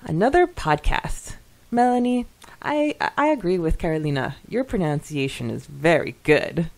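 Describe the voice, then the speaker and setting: A woman's voice: fairly low pitch, lively intonation, normal speed, vocal fry. A woman co-hosting a podcast, chatting casually and reading listener comments in a giggly, effusive manner. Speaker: podcast co-host.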